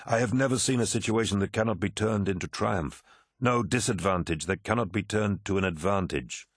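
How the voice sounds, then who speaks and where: A man voicing a commanding, wrathful warlord of superhuman warriors in a dramatic audiobook, forceful and imperious. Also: lowish voice, fairly quick, husky, no echo, clean studio sound. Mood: commanding, proud. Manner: forceful, imperious, snapping and roaring. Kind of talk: dramatic audiobook character acting.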